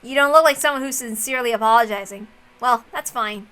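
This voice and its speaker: A woman's voice: on the high side, fast talker, bright, forceful tone, clear, resonant tone. A woman voicing a wary, sardonic man, a proud rival in a visual novel reading. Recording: music under the voice.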